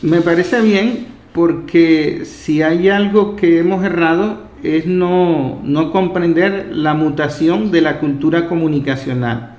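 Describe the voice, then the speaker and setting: Higher-voiced man in an echoey room. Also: takes it slowly, hardly any pauses, darkish tone. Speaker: interviewer. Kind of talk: conversational political interview.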